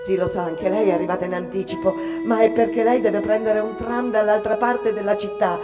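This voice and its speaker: An actress playing a lively, cheeky young immigrant factory girl in a radio drama, chatty, eager and direct. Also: medium pitch, brisk, over music, close to an everyday voice. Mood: brisk, chatty. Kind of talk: theatrical radio drama acting. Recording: reverberant hall-like sound.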